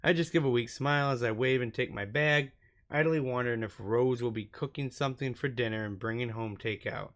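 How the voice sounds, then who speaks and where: A man's voice: mid-range voice, fairly quick, fairly bright tone. A man doing let's play narration, reading visual novel text aloud as a gaming host and sometimes stumbling over words.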